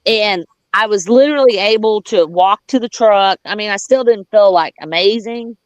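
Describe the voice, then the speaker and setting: A woman's voice: mid-range voice, normal speed, dry recording, muffled recording. A woman tells a personal story in casual, candid talk, recorded dry and close with little room sound. She swears freely, drifts into side details and voices the people she quotes. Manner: candid, profane, rambling with digressions; acts out quoted dialogue.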